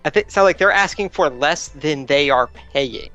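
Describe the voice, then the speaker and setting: Higher-pitched man, dry lo-fi mic. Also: animated, moderate pace, sharp tone. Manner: analytical, reasoning aloud. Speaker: player in a tabletop game. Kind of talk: casual game discussion. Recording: dull lo-fi mic, music behind.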